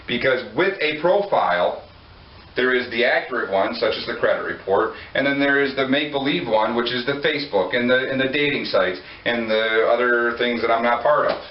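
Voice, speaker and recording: A man's voice: mid-range voice, a little fast. A man preaching a sermon in a conversational way, joking and putting questions to his listeners. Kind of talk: sermon to a congregation. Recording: echoey room, far from mic, dull lo-fi.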